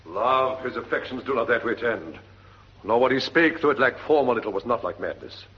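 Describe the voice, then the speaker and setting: An actor playing a shrewd, suspicious middle-aged king in 1940s British radio Shakespeare, measured and authoritative, with husky Received Pronunciation. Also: medium pitch, expressive. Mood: brooding resolve. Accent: English Received Pronunciation. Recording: dull 1940s radio recording.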